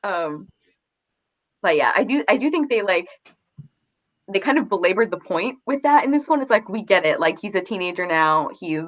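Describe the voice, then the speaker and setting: Female co-host, mid-range voice, informal and animated book chat, dull lo-fi audio. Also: medium pitch, normal speed. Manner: animated retelling with quoted asides, frequent "like". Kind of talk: casual book discussion chat.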